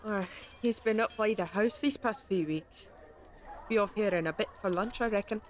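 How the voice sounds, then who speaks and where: Fantasy audio drama: friendly female market baker, played by a woman, mid-pitched, folksy and dryly teasing. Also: normal speed, a little hiss or hum, natural voice. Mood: friendly, wry. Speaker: voice actor. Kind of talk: fantasy audio roleplay acting.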